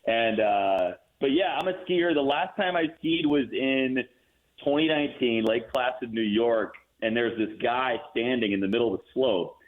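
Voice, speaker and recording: A man's voice: medium pitch, moderate pace. A man speaking as an enthusiastic guest sportscaster in a conversational interview, telling things fluently. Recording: tinny narrow phone-like line.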